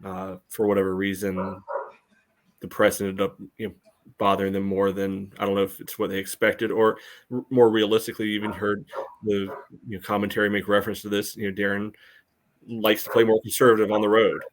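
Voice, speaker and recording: A man on a sports podcast panel, casually analyzing soccer in a dry, wry and measured manner. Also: on the low side, flat delivery.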